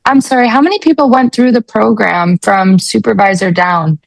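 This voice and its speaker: A woman co-hosts a casual interview. Her voice has a creaky edge and is recorded close and dry. She chimes in with short reactions. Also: medium pitch, normal speed, dry recording, rough recording. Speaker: podcast host.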